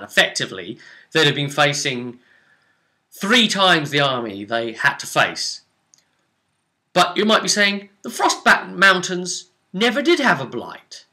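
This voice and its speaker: A man's voice: fairly high pitch, bright, forceful tone. A man recounting a tabletop fantasy game as game master, telling it like a story with asides to the listener. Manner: storytelling with rhetorical asides to the audience.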